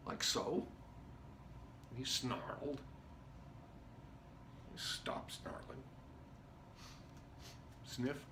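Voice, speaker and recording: A man performing a dramatic stage monologue as an intense, rambling drifter, speaking in emphatic broken phrases with pauses. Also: medium pitch, sharp tone. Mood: suspenseful, deliberate. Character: Jerry, intense rambling drifter confiding a story. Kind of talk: theatrical dramatic monologue reading. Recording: noticeable background noise.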